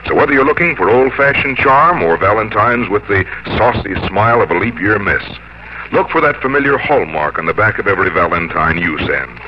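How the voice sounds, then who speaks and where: American radio announcer, muffled vintage broadcast. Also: male voice, fairly low pitch, lively intonation, noisy background. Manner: polished, measured sales delivery. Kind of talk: 1950s scripted radio commercial announcing.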